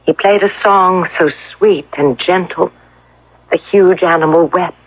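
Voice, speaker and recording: A woman's voice: lowish voice, a little slow, no echo. Lo-fi dry radio broadcast, determined woman captive, probing, coaxing, insistent, dramatic delivery. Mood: persuasive.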